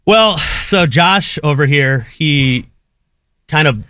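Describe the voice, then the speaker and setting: A man guesting on a radio show, talking casually with a loud voice in a rambling, hesitant way. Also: a little high, animated, slow pace, dry recording, clean studio sound. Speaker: studio guest. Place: radio studio. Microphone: studio mic.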